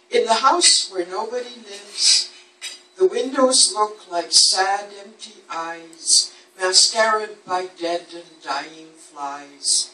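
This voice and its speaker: Female poetry reader, unhurried dramatic storytelling, roomy distant live recording with faint noise. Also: mid-range voice, sharp tone. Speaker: poet reading at a book launch. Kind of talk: recited rhyming poetry reading. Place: indoor book launch event. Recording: echoey room, distant mic, faint noise.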